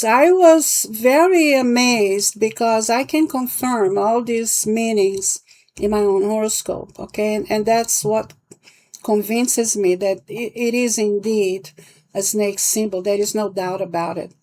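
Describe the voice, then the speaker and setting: A woman with a Brazilian accent, a native Portuguese speaker, talks at a slow pace in a mid-range voice. She explains things casually and haltingly, often checking in with the listener. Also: mid-range voice, a little mellow. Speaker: palmistry vlogger and teacher.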